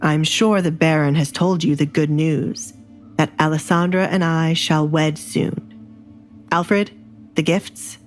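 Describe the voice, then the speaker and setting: Woman voicing a low-pitched, commanding young duke. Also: fairly even intonation, soft background music. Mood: smooth, confident. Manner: imperious, measured. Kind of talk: audio drama acting.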